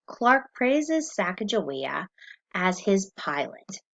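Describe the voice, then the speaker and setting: A woman teacher reading a lesson aloud to children, slow and clear, pausing so they can answer. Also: medium pitch, fairly bright tone.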